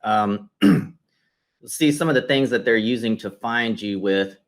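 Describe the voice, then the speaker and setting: A man with a slightly deep voice hosts a client interview. He talks conversationally as he presents a shared screen, explaining each item step by step in the manner of a consultant. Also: takes it slowly. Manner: explanatory, walks listener through results step by step.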